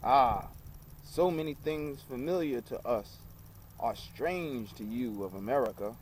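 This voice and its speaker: A man reading the part of a kindly, earnest Syrian guest in a storybook, explaining things gently. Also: medium pitch, lively intonation, measured pace, a little hiss or hum, lightly acted.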